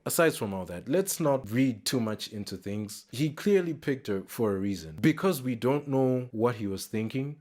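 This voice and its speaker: A young man speaking as a reaction video host, giving casual, off-the-cuff commentary in a measured, reflective way, with sentences that tail off. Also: on the low side, a little mellow. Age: young adult.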